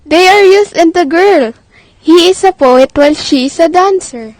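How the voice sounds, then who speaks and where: A woman acts Life, a tender-hearted, hopeful woman in a radio drama. Her voice is very high and closely recorded with a dry sound, and she speaks in an earnest, pleading way. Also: lively intonation, moderate pace, darkish tone, no room sound. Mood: eager bargaining.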